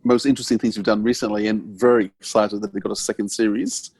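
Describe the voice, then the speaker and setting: A man's voice: on the low side, steady tone, fast talker, a little mellow, husky, no room sound. A man hosting a casual podcast interview, feeling his way into his questions.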